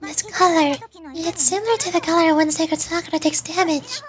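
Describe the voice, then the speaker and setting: Game fairy companion performed by a woman: small, curious, chatty girl, pitched well up high. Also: moderate pace. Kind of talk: video game dialogue read aloud.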